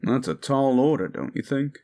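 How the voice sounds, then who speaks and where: A man's voice: medium pitch, normal speed, dark tone, lightly acted. A man playing an informal, puzzled, down-to-earth sidekick in a fantasy audio roleplay. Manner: informal, questioning.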